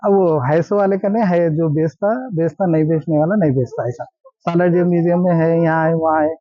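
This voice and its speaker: A man's voice: a little high, fairly quick, no room sound. A man with a Hyderabadi accent explains things in a casual interview, mixing English terms into his speech. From Hyderabad, India. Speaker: vintage jeep owner and ham radio operator being interviewed. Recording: dull, dry lo-fi recording.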